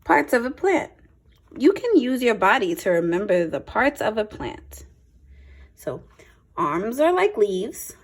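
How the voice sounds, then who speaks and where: Lively, slightly husky woman reading to children. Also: fairly high pitch. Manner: simple explanatory asides to young listeners; expressive, deliberate phrasing for children. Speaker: teacher reading aloud to young children. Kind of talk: children's picture book read-aloud with teaching asides.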